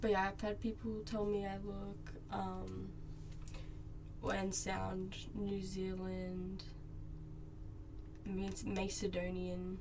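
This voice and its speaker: A woman's voice: mid-range voice, completely flat delivery, slow, long pauses, over music, rough recording. A young Australian woman with an Australian accent, chatting casually and rambling to live viewers while she draws, with lots of filler "like"s. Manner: laid-back, rambling, many filler "like"s. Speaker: streamer.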